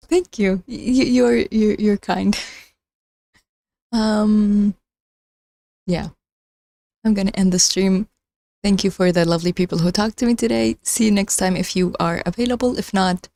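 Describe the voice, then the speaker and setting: A young woman, not a native English speaker, chats loosely to her live-stream viewers, giggling and restarting her sentences as she goes. Her voice sits at a middle pitch and sounds close and dry, with no room sound around it. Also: darkish tone, dry recording. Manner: chatty, giggly, rambling with many restarts. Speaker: livestreamer. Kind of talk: casual live-stream chat. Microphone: phone.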